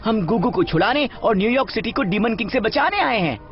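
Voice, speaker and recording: A man voicing a boastful, excitable young golden monkey hero in an animated film dub, cocky and showy. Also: high voice, a little fast. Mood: earnest, defiant. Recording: muffled dub over loud music.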